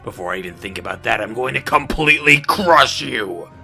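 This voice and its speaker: A man's voice: medium pitch, expressive, a little fast, gravelly, sharp tone, faint music behind, voice pushed down, full character voice. A man voicing a ruthless, vengeful villain boss from a video game, brooding and menacing. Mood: enraged, menacing. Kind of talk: video game character reel.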